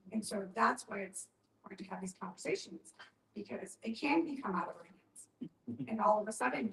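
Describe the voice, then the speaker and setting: A woman's voice: medium pitch, a bit bright. A woman speaking reflectively and explaining her view in a group discussion, repeating phrases for emphasis. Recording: echoey room, distant mic.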